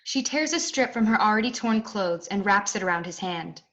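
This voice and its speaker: A woman's voice: mid-range voice, lively intonation, moderate pace, fairly bright tone. A woman reading stage directions as scripted narration for a play reading.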